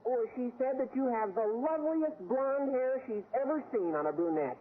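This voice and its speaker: High squeaky teen boy, eager and florid, old radio comedy, tinny sound. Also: expressive, moderate pace. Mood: earnest. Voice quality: squeaky, cracking adolescent voice. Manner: effusive, pompous wording, eager. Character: earnest, florid, squeaky teenage schoolboy. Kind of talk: 1940s-50s radio sitcom acting. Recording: tinny vintage radio with faint noise.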